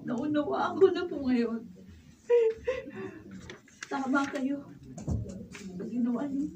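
A woman's voice: high-pitched, takes it slowly. A woman playing a remorseful young daughter in a Filipino radio drama, tearful and pleading. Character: remorseful young daughter returning to her mother. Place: radio drama recording studio. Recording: noisy, distant camera recording in studio.

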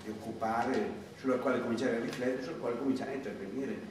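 A man in his fifties giving a reflective talk at a project presentation, pausing often with hesitant fillers. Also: mid-range voice. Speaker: supervisor speaking at a project presentation. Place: large classroom with rows of chairs. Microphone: far camera. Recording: echoey room from far off, background noise.

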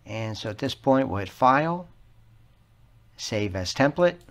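A man with a mid-pitched voice narrates a tutorial at a slow, measured pace. He is plainspoken and takes the listener through instructions one step at a time. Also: big pitch swings. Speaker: tutorial host.

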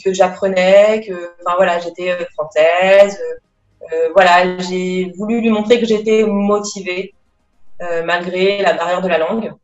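A woman's voice: lowish voice, steady tone, a little slow. Young woman, hesitant, lots of fillers, video call from a small room, echoey dull audio, faint music. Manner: hesitant, many fillers and restarts. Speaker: interview guest. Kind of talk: casual testimony over video call. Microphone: laptop webcam mic on video call.

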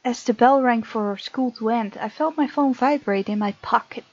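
A woman's voice: fairly high pitch, normal speed, darkish tone, no room sound, muffled recording. A woman doing a let's play, reading a visual novel aloud with chatty, giggly asides.

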